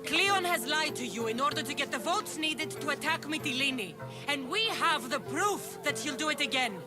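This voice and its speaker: A woman's voice: high-pitched, animated, normal speed. A woman playing a plain-spoken ancient Greek heroine in video game dialogue, direct, terse and skeptical. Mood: accusing. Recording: music under the voice.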